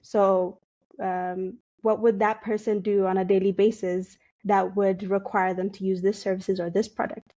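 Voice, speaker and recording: A young woman from Ethiopia, a digital marketer, answering interview questions thoughtfully with many pauses and fillers. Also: mid-range voice, little pitch movement, dark tone, dull audio. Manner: thoughtful, hesitant with many fillers, laughs often. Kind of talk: casual interview.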